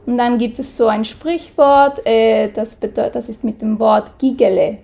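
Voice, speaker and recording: Slow-spoken Swiss woman with gravelly voice. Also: on the high side, fairly even intonation, dark tone. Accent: Swiss German. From Switzerland. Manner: hesitant, searching for words with many fillers. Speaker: guest. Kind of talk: casual interview.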